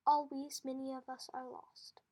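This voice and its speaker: A woman's voice: high voice, little pitch movement, moderate pace, a little mellow. A woman voicing an anxious, curious young farm boy in a storybook, speaking in an earnest, questioning way.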